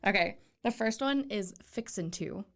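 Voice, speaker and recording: A woman's voice: medium pitch, expressive, moderate pace, a bit bright. A woman hosting a local podcast, upbeat and chatty, laughing easily as she talks with a guest. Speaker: podcast host. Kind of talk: casual interview chat.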